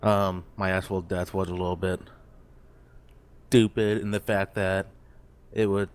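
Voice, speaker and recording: A man's voice: low voice, level tone, a little slow, smooth. A man chatting casually as a game player, hesitant and rambling as he works toward his point. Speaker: role-play game player. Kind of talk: casual chat.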